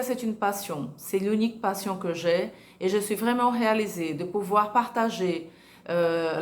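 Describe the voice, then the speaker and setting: A Brazilian woman professor speaking French in a mid-range voice, passionate about her work. Her Brazilian accent is clear, and she pauses briefly now and then. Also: medium pitch, darkish tone. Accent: Brazilian Portuguese. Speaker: university professor. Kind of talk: self-introduction.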